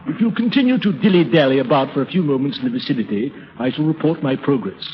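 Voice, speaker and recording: An actor playing a stuffy, formal, slyly corrupt manservant in a 1930s radio comedy, prim and deadpan. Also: male voice, mid-range voice, fast, close to an everyday voice. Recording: muffled 1930s radio recording.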